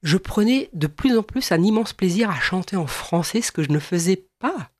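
A woman's voice: on the low side, expressive, moderate pace, a little mellow, dry recording. Hesitant woman singer, dry sound. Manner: hesitant, many restarts and repeated agreement. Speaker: singer, guest. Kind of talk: radio interview.

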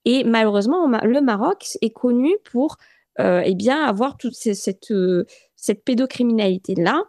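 A woman from France, speaking as a radio interview guest in an earnest, halting way with many restarts and fillers. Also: a little high, a little mellow, dry recording. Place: radio studio.

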